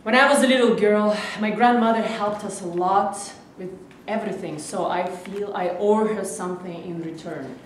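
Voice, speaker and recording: A woman's voice: medium pitch, normal speed, close to an everyday voice. A woman acting a stubborn, protective adult granddaughter in a staged reading, insistent and emotional. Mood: earnest, resigned. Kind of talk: amateur staged reading of a play. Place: live staged reading in a reverberant room. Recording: very echoey room, distant mic, faint noise.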